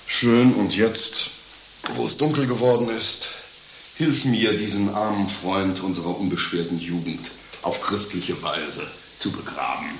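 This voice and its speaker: A man's voice: low voice, measured pace, noisy background. A man playing a worldly, sly confidant in a German radio play, declaiming with teasing emphasis. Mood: reassuring. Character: worldly, sly male schemer, cheeky confidant to a friend.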